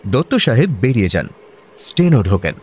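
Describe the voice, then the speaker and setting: An actor playing the narrator of a comic radio drama, telling the office story in scripted style. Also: male voice, mid-range voice, very animated, a little fast, darkish tone, faint music behind, natural voice. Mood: storytelling.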